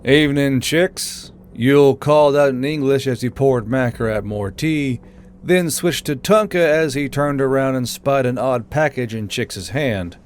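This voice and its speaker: A man's voice: mid-range voice, normal speed, a little hiss or hum. A man reading scripted fiction as an audiobook narrator.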